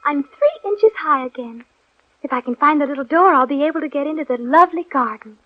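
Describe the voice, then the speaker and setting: High polite curious little girl talking to herself, muffled old radio. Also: expressive, a little fast. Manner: polite, wondering, talks to herself. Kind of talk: 1940s radio acting. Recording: dull old radio recording.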